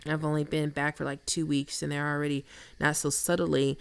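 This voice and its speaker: Woman acting a very creaky, teasing flirt. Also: low voice, little pitch movement, no echo. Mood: wry, sympathetic. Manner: casual, teasing, unhurried. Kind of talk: intimate ASMR roleplay acting.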